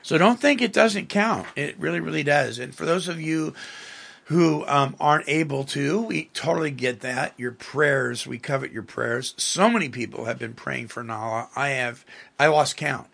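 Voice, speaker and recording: A man's voice: on the high side, moderate pace, gravelly, a bit bright. A man hosting a talk radio show, rambling with fillers while fighting a cold. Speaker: radio host. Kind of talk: casual talk radio chat.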